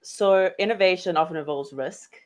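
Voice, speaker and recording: South African woman interviewer, low voice, friendly, measured delivery. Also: a little mellow. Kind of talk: interview questions.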